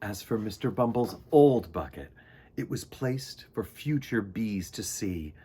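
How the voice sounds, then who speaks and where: Expressive man hosting children's storytime. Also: on the low side, a little slow, husky. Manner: expressive, emphatic, folksy. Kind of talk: animated storybook narration and friendly address to children.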